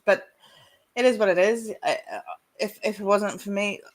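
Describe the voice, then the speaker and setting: A woman with a stuffy voice from a cold, sharing casually in an online recovery meeting, halting and restarting often. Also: mid-range voice. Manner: halting, many restarts, laughs between sentences. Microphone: video call.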